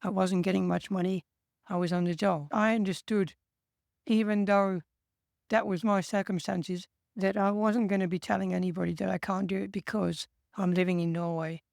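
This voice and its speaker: A man from England with an English accent, co-hosting a casual chat and giving steady, earnest encouragement. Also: high-pitched, normal speed, vocal fry, no echo, studio quality. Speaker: co-host.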